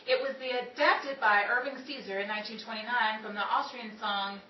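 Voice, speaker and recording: A woman's voice: on the high side, steady tone, fairly quick, lo-fi sound, natural voice. A woman playing a chatty, polished 1930s radio broadcaster with a theatrical, presentational delivery. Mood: showy, poised. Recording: echoey room, distant mic, noisy background.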